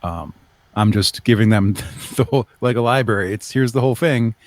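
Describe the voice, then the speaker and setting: Low-voiced man explaining his creative project. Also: moderate pace, dry recording. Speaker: guest, audio drama creator. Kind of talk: casual interview chat.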